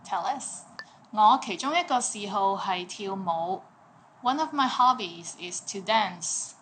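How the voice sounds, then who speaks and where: A woman's voice: medium pitch, expressive, slow. Young woman teacher, casual and jokey, light room noise. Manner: playful, jokey, swings between loud and quiet. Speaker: language teacher. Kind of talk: casual language lesson.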